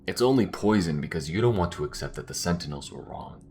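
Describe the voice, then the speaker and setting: A man's voice: expressive, fast talker, darkish tone, a little hiss or hum. A man playing a weary, principled space rebel in a sci-fi audio drama, speaking in an earnest, emphatic way. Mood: defiant, firm. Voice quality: low-pitched.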